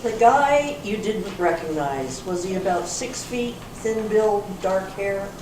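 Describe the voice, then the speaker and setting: A woman reading the part of a brisk, authoritative police sergeant in an amateur readers theater, matter-of-fact in manner. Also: medium pitch, lively intonation, darkish tone. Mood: probing. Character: brisk female police sergeant closing a case. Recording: echoey room from far off, clear noise.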